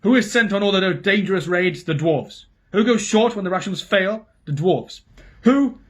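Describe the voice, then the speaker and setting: Man doing a resentful scheming dwarf, sneering, cold, raised and sharp, husky storybook voice. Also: fairly high pitch, normal speed. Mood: shouting. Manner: sneering, snapping.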